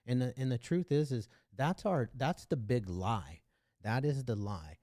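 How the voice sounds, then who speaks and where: A man's voice: medium pitch, lively intonation, unhurried, dark tone, no room sound, studio quality. A man hosting an online recovery meeting over a video call, earnest and casual, often restarting his sentences. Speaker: meeting host.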